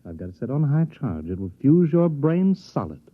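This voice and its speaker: A man playing a polite, menacing counterfeiter with a softly threatening manner in a vintage radio drama. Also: mid-range voice, very animated, normal speed. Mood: calm menace. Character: polite, menacing male counterfeiter holding a gun. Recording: thin phone-like vintage radio recording.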